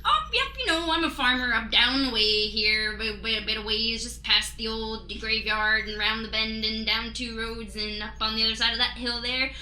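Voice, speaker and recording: A woman's voice: a little high, normal speed, hardly any pauses, sharp tone. A woman playing a cheerfully naive, chuckly neighbor farmer with folksy drawled greetings in an improvised comic radio play. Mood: chuckling. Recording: echoey room with noise.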